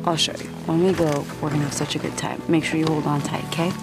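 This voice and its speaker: An American actress playing a frank, no-nonsense woman survivor with a gentle side in a video game. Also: low-pitched, little pitch movement, fast, loud music behind the voice. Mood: gentle coaxing. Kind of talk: naturalistic cinematic game acting.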